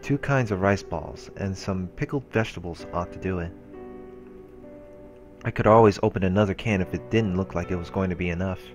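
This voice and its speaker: A let's play host reads a story's narration aloud in a steady way. He drops casual comments between passages. His voice is very low, and faint game music plays behind him. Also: fairly quick, highs cut off. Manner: steady reading with casual asides.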